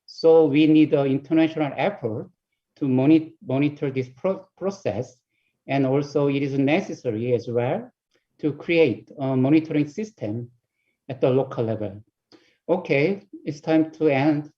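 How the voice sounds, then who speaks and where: A man with a Korean accent, a conference panelist reading a prepared summary in halting, non-native phrasing. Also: fairly high pitch, lo-fi sound.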